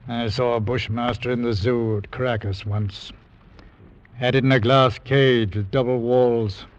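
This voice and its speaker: An actor playing a gruff, cautious ship captain in a 1940s radio drama, with clipped speech. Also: male voice, medium pitch, fairly even intonation, a little slow, close to an everyday voice. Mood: ominous. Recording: dull old radio recording.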